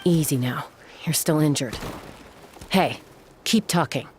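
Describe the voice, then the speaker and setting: Low-voiced tough young gunslinger. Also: female voice, a little slow, very noisy. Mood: cool, interrogating. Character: tough, protective young female gunslinger peacekeeper, cool and commanding. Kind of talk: video game voice acting.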